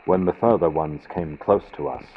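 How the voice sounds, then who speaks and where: Deep-voiced male gothic horror narrator. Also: moderate pace. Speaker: audiobook narrator. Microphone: built-in computer microphone. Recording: lo-fi built-in computer mic, hissy vintage-radio sound.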